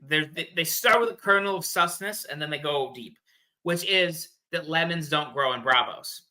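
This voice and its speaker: A man's voice: a little high, moderate pace, bright, forceful tone. A man in a casual fan discussion, explaining things in a slangy, playful way as a podcast guest.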